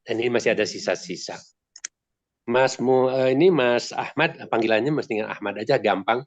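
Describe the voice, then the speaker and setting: A man's voice: medium pitch, moderate pace, no echo. A man with a nasal, congested voice from a sore throat, asking polite, rambling questions in an online book discussion. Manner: polite, rambling, many restarts. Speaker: discussion participant. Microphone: video call.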